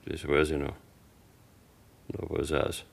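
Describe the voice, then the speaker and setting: A taciturn young Bavarian farmer's son in a radio drama. His voice is deep. He answers guardedly, in clipped, evasive phrases. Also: slow pace, gravelly, exaggerated voice. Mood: guarded, stolid. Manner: terse, evasive, flat answers. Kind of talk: German radio drama acting.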